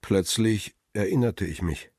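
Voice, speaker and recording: An actor narrating in the first person as a battered photographer in a radio drama, measured and husky. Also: male voice, fairly low pitch, a little mellow, no echo, clean studio sound. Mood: weary. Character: battered male photographer recalling events, wry and weary.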